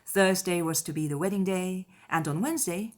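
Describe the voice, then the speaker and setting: A woman narrating a literary audiobook in a measured, expressive storytelling manner. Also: lowish voice.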